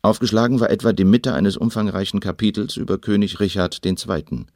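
A male actor as a secret agent gives a surveillance report in a radio drama. The voice is fairly low and dry in sound, the manner terse and wryly matter-of-fact. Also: a little fast, a little mellow, no room sound, lightly acted. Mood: terse, watchful.

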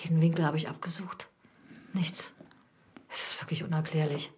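A woman playing a deferential housekeeper at a village parsonage in a radio drama, speaking quietly and servilely. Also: deep voice, flat delivery, normal speed, in a whisper, natural voice. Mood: quiet, puzzled. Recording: faint noise behind the voice.

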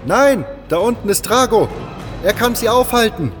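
Man playing an urgent, blunt hero, pitched up. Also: animated, normal speed, long reverb tail, soft background music. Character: urgent, blunt male swordsman, fantasy hero in battle.

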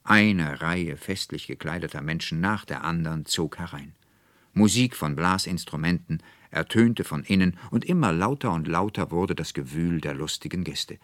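A man narrating a literary audiobook as an expressive, measured storyteller, recorded in a studio. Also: deep voice, no room sound. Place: dry studio.

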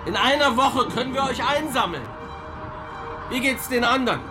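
An actor playing a loyal seaman making radio calls in a horror audio drama, loud and urgent. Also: extremely high pitch, expressive, normal speed, over music. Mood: relieved, excited.

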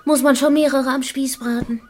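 A woman acts out a hard-bitten female survivor in a radio drama. Her voice sits a little high, and she talks coarsely and offhandedly, with dry sarcasm. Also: steady tone, moderate pace, darkish tone, a little hiss or hum, close to an everyday voice. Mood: sardonic, offhand. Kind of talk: German radio drama acting.

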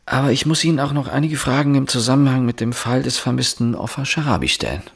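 A heavily rasping, gravelly man plays a police inspector in a radio drama. He is brooding and formal, questioning in a terse, controlled way. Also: mid-range voice, expressive, quick pace. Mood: controlled, probing. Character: brooding, probing male police chief inspector. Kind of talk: German radio drama acting.

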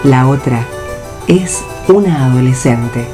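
A woman's voice: a bass voice, slow pace. A woman announcing and narrating stage directions in a scripted radio drama. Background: prominent music.

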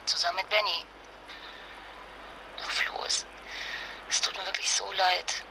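An actress playing an anxious but determined wife and mother in a naturalistic radio drama, speaking haltingly and with emotion. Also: mid-range voice, flat delivery, creaky, noisy background. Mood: distressed, apologetic.

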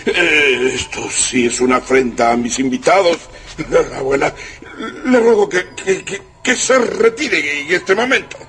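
Pompous flustered general in a radio drama, slow, swoopy voice, bit of noise. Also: male voice, a little high, animated, a bit bright. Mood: indignant, flustered. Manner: effusive, stammering when indignant. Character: pompous, flustered Russian general, obsequious grandson type.

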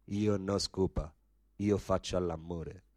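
An actor playing a young Romanian immigrant labourer in Rome, proud and teasing, speaking broken Italian with a Romanian accent in a radio play. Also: male voice, low voice, fairly even intonation, slow, darkish tone. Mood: provocative. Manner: broken Italian with missing articles and verbs. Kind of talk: stage radio drama dialogue.

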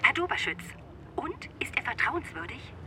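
High-voiced imperious woman client. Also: very animated, moderate pace. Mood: impatient, demanding. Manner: insistent, dramatic, commanding. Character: demanding, jealous, imperious female client of a detective. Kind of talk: radio crime drama dialogue. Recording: clearly noisy background.

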